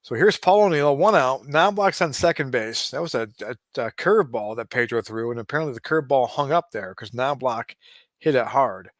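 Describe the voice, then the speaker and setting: Man, late forties, casual baseball play-by-play, chatty asides, mid-pitched, dry close mic. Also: a little fast, no room sound. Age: 40s. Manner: relaxed, chatty, given to asides and small restarts. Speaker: game streamer narrating a simulated baseball game.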